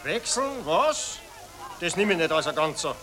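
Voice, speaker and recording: A man's voice: on the high side, sing-song, normal speed. An actor with a Viennese accent playing a sly, evasive male coachman. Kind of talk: 1960s radio drama acting.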